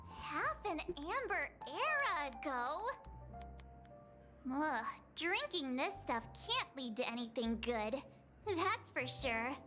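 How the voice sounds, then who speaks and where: Very high, childlike bubbly girl. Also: heavily acted. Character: bubbly, wary young girl in a fantasy game. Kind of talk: video game voice acting.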